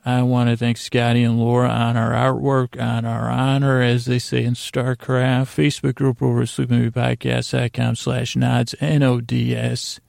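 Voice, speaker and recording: A man hosting a sleep podcast in a rambling bedtime monologue, halting and meandering, repeating himself and trailing off mid-thought. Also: medium pitch, completely flat delivery, creaky, a little mellow, no echo, clean studio sound.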